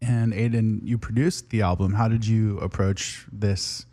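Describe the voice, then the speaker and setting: Mellow-voiced host asking brief questions. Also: medium pitch, fairly even intonation, measured pace. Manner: brief prompts and affirmations. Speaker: interviewer, show host. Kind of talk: casual interview questions.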